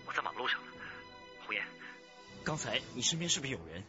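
Desperate man played by an actor, wildly swinging pitch. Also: sing-song, fast talker, highs cut off, over music, lightly acted. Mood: nervous. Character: desperate, lovesick male suspect on the run. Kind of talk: radio drama acting.

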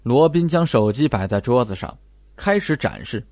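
Expressive male audiobook narrator, dry sound. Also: medium pitch, normal speed, no room sound. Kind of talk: scripted suspense narration with acted dialogue.

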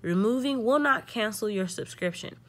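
A woman's voice: medium pitch, expressive, normal speed. A young woman hosting a casual step-by-step tech tutorial, thinking aloud and reading prompts in an informal way.

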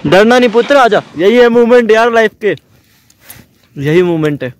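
A young man chatting excitedly to viewers while camping on a mountain meadow, filmed on an action camera. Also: high voice, normal speed. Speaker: travel vlogger. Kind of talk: casual camping vlog. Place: outdoors on a mountain meadow by a campfire.

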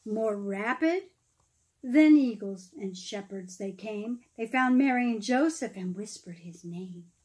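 A middle-aged woman reads a rhyming children's story aloud in an expressive, dramatic manner, pausing for emphasis. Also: mid-range voice. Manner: dramatic, emphatic phrasing with pauses, explaining asides to children. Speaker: pastor reading a children's story.

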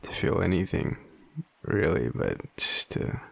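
An Australian man playing an overwhelmed, devoted, self-deprecating partner in an intimate audio roleplay, speaking haltingly with sighs. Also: sits low, expressive, gravelly, fairly bright tone, husky, full character voice. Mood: overwhelmed, tearful. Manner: halting, hesitant, sighing.

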